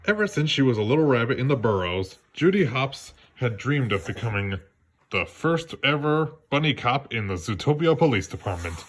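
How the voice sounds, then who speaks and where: A man narrating a children's read-along story in a lively storytelling manner. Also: medium pitch, normal speed. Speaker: storybook reader.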